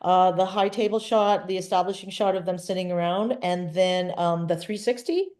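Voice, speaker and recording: A woman in her sixties, born in Trinidad and raised in Vancouver, speaking as a filmmaker guest in a casual interview, with an animated storytelling manner. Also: lowish voice, normal speed. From born in Trinidad, grew up in Vancouver. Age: 60s.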